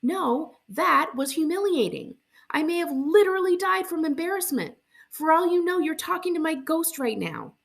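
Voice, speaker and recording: Woman playing an exasperated, dramatic middle-school girl, pitched up. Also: big pitch swings, moderate pace, vocal fry, full character voice. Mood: mortified.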